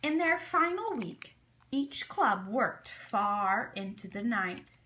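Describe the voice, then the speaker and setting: A woman reading a children's story aloud in an expressive, deliberate, dramatic way. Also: a little high, slight background noise, rough recording. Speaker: storybook reader.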